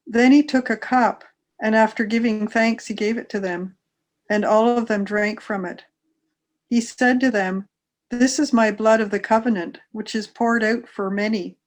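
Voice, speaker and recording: A woman's voice: a little high, steady tone, moderate pace. A woman reading a scripture narrative aloud from a text, as a church member would for a service.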